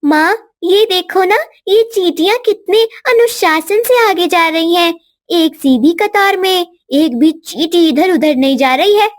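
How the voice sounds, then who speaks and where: A woman voicing an innocent, gentle little princess in a cartoon, speaking in an earnest, childlike way. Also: very high-pitched, unhurried, pitched above the performer's own voice.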